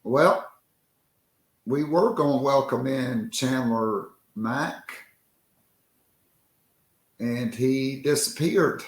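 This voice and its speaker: A man hosting a sports podcast, talking casually and jokingly with a worn-out, hoarse voice. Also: mid-range voice, roomy sound. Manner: loose and joking, slow drawn-out delivery. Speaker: podcast host.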